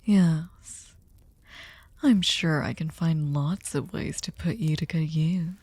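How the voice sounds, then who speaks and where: A woman playing a sadistic, possessive, centuries-old vampire lord in an intimate ASMR roleplay, speaking theatrically and tauntingly, often dropping into breathy whispers. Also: low-pitched, slow, darkish tone, exaggerated voice. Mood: possessive, seductive.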